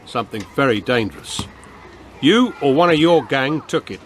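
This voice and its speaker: An actor playing an older, earnest British police constable in a radio drama, questioning firmly but patiently. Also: male voice, medium pitch, expressive, moderate pace, slight background noise, lightly acted.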